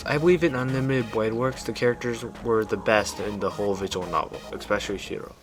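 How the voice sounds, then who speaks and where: A man's voice: on the low side, fast. A man reading a scripted video review in an informal, opinionated way. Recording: music behind the voice. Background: background music.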